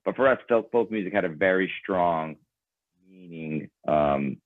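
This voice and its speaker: A man's voice: low voice, a little slow, no echo. A man whose first language is Ukrainian, talking casually as an interview guest about his band, thoughtful and rambling with fillers. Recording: tinny phone-like line.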